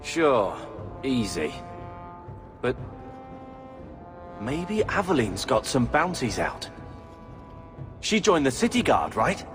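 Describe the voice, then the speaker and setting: A brash young fighter in a fantasy game, the resentful younger brother. His mid-pitched voice is animated and blunt, ringing in a long reverb with music underneath. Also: slow, pauses often. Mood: pushy, upbeat. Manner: blunt, sarcastic. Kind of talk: video game dialogue acting.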